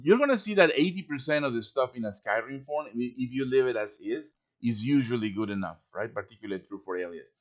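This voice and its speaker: A man with a medium-pitched voice leads a live software tutorial. He talks informally, walking through each step in order and adding casual asides as he goes. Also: animated, quick pace, few pauses. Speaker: tutorial presenter. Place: live-streamed voice chat with screen share.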